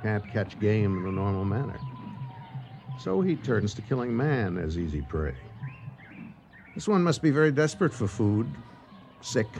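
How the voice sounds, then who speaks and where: An actor playing a grim, determined lion hunter in a radio drama, terse and commanding. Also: male voice, fairly low pitch, sing-song, moderate pace, gravelly, pauses often, darkish tone, soft background music. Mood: grim, matter-of-fact. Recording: noisy radio drama recording.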